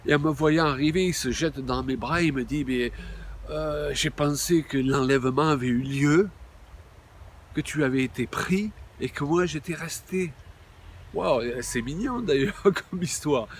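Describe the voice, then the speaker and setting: A man in his sixties, a pastor, giving an animated video sermon, exclaiming often and pausing with many fillers. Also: on the high side, slight background noise. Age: 60s.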